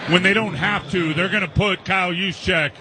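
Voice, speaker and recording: A man's voice: high-pitched, fairly even intonation, normal speed. A man giving analysis as a color commentator on live football radio. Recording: clear stadium noise behind.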